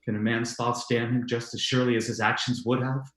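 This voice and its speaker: An actor playing an earnest, secretly lovelorn husband in a formal, theatrical old-time radio drama style. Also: male voice, medium pitch, level tone, fairly quick, a bit bright, lightly acted. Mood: guilt-ridden. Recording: roomy, echoing sound.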